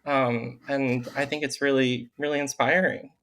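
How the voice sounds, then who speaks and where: A man's voice: mid-range voice, little pitch movement, a little slow. A man being interviewed as an author, speaking earnestly and fondly in casual chat, with frequent fillers. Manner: earnest, warm-hearted, with fillers like "like" and "um". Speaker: author guest.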